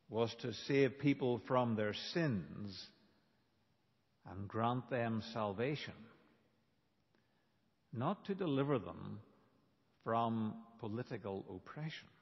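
A man preaching a sermon as a pastor, in a deliberate, emphatic manner with dramatic pauses. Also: medium pitch, husky. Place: large reverberant church. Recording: dull, reverberant church hall recording.

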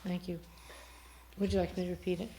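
A woman's voice: on the low side, little pitch movement, a little fast, mellow. A woman moderating a forum, politely and formally reading out prepared questions. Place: TV studio. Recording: echoey, roomy studio sound.

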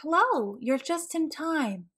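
A woman voicing a calm, kindly pet show judge in a storybook, politely announcing and asking questions. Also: high voice, slow pace, voice pushed up, full character voice. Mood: friendly, official.

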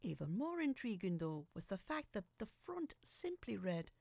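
A woman reading scripted first-person fiction as a dramatic narrator, with a deliberate, suspenseful, theatrical delivery. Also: mid-range voice, sing-song, creaky, darkish tone, dry recording.

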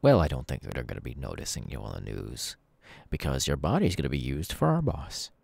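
A man's voice: a bass voice, sing-song, brisk, vocal fry, darkish tone, breathy edge, no room sound, heavily acted. A man playing a smug, sadistic masked killer in an ASMR audio roleplay, taunting in a menacingly casual, mocking way. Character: sadistic masked young male killer, smug, gleeful cult henchman.

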